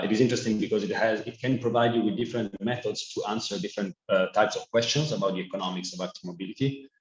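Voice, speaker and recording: A man with an Italian accent, giving an earnest online conference talk with frequent restarts. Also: lowish voice. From Italy. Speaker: presenting speaker. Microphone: video call. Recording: echoey, muffled video call.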